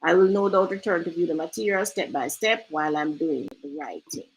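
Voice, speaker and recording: A woman's voice: lowish voice, fairly quick, slight background noise, rough recording. A Jamaican woman hosting a casual screen-share tutorial, chatty and loose, often asking "right?". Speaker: host.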